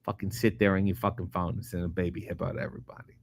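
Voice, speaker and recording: A middle-aged man, a comedian host, reading viewer comments aloud and answering them in a casual, profane, deadpan way. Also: deep voice, racing, a little hiss or hum. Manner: profane, sardonic, deadpan asides. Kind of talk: casual chat answering live chat questions.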